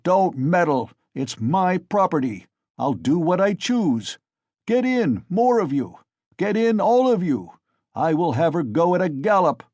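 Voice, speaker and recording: A man's voice: sits high, expressive, unhurried, a little mellow, no room sound, voice pushed up. A man voicing a cruel, drunken young male peasant who bellows and rages. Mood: drunken bellowing. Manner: bellowing, raging.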